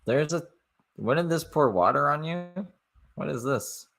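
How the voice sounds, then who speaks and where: A man giving casual, profane, rambling commentary over a livestream, swinging from loud asides to quiet mutters. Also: fairly high pitch, lively intonation, unhurried, vocal fry, crowd noise.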